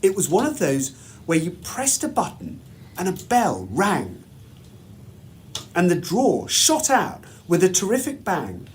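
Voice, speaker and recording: A man reads a children's story aloud in a measured, deliberate, expressive way, like a headmaster at storytime. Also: sits high, a little hiss or hum.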